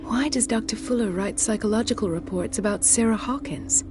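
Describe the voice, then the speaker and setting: A woman's voice: fairly high pitch, fairly quick, over music, close to an everyday voice. An actress playing an inquisitive, determined woman investigator in a mystery game, thinking aloud. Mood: questioning. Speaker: player character in a mystery game.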